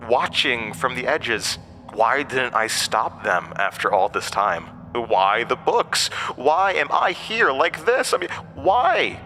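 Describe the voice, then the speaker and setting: Man playing a sharp, taunting, undying entity. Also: fairly low pitch, slight background noise, exaggerated voice. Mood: taunting, sly. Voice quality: broken-windpipe voice, borrowed from another man. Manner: mocking, theatrical, cryptic, chuckling asides. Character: mysterious undying male entity in a hat, taunting, weary. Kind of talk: horror audio drama acting.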